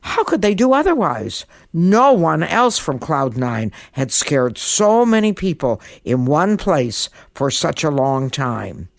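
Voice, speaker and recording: A woman narrating a children's story in an expressive, theatrical and emphatic way. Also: sits low, slow, vocal fry, hardly any pauses, no echo, studio quality. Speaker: storyteller, guest podcast host.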